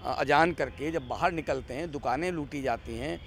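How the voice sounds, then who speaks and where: A man's voice: medium pitch, moderate pace, creaky, a little hiss or hum. A man giving political commentary as an interview guest, arguing emphatically with repeated phrases and rhetorical questions.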